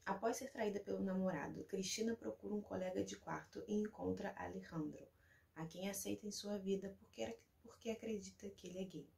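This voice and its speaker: Brazilian woman with a creaky voice. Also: mid-range voice, fairly even intonation, fairly quick, darkish tone. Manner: chatty, explanatory, reads synopses aloud. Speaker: Spanish teacher and video host. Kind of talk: casual recommendation vlog.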